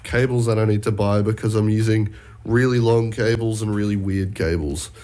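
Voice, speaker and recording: A man's voice: lowish voice, steady tone, normal speed, warm tone. A young man with a cold, sounding nasal, chatting casually as a podcast co-host. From Australia. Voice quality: laid-back, slangy, enthusiastic about gear. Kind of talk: casual chat.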